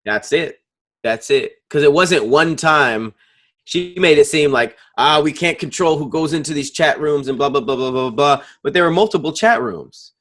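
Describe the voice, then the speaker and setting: Wry man with a high-ish voice. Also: moderate pace, a bit bright. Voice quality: says he has a high voice. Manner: wry, incredulous reactions with frequent laughing. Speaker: podcast co-host. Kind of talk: casual comedic chat.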